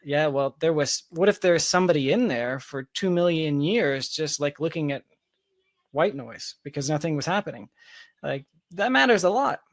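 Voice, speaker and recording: A man's voice: on the high side, expressive, moderate pace, no room sound, clean studio sound. A man speaking as an interview guest, speculating at length in an informal, emphatic way as he thinks aloud. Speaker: interview guest, tech company founder.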